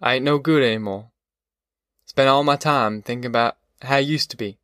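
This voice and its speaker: Man voicing weary Southern farmer, resigned, mid-pitched. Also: normal speed, fairly bright tone, no echo, clean studio sound, lightly acted. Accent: rural American South. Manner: plainspoken, resigned, folksy. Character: Pa, weary, defeated middle-aged farmer, homesick. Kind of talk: audiobook character dialogue.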